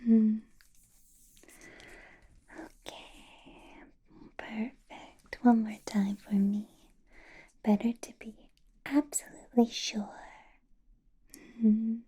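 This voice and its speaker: A woman playing a possessive, doting vampire boss in an ASMR roleplay, whispering in a breathy, teasing, intimate way. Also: a little high, level tone, dark tone, lo-fi sound, slight background noise. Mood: softly commanding. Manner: slow, teasing, intimate personal-attention delivery.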